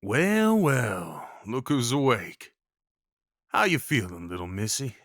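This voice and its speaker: Man acting, Old West cowboy, protective, awkwardly kind, informal, medium pitch, intimate roleplay, dry recording. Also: very animated, takes it slowly, a bit bright, dry recording, exaggerated voice. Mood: gentle, reassuring. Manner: folksy, reassuring, informal.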